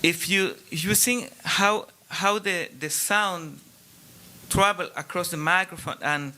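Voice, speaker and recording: Chilean man with a Spanish accent, audience question, slightly high and bright, very slow, halting English, faint hall ambience. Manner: hesitant, searching for English words, many restarts. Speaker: audience member asking a question.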